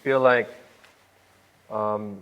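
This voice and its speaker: A man's voice: on the low side, fairly even intonation, drawn-out words, clean tone, dull audio. Male talk-show host, upbeat, chatty, filler-heavy. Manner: upbeat, hype-style, chatty with many fillers. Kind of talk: casual interview hosting.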